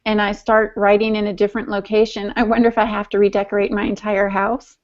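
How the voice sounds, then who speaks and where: A woman's voice: medium pitch, flat delivery, fast. Woman chatting in dull lo-fi audio. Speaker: podcast co-host and writer. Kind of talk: casual chat.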